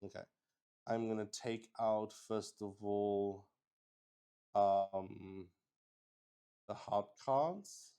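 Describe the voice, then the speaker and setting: Slow, low-voiced man thinking aloud. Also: dark tone, smooth. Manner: hesitant, pausing, thinking aloud. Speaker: solo tabletop roleplay host, game master. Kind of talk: improvised narration and thinking aloud for a solo tabletop game.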